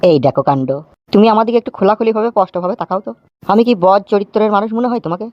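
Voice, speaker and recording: A man voicing an eager, wheedling suitor in an animated comedy. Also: sits high, rapid-fire, no echo. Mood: coaxing. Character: wheedling, eager male suitor pushing for marriage. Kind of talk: animated Bengali comedy dialogue. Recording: dull lo-fi track, faint music.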